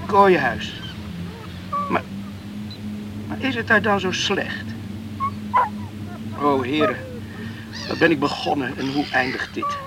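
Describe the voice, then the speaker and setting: An actor playing a torn, brooding young islander who talks to himself in agitated self-reproach in a radio play. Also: male voice, a little high, huge pitch swings, slow pace, pauses often, noisy background, exaggerated voice. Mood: anxious, torn.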